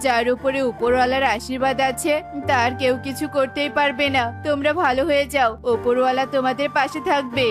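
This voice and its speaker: A woman voicing a kindly, forgiving parent songbird in a cartoon moral tale, gentle and moralizing. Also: high voice, little pitch movement, a little fast, lightly acted. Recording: music bed behind.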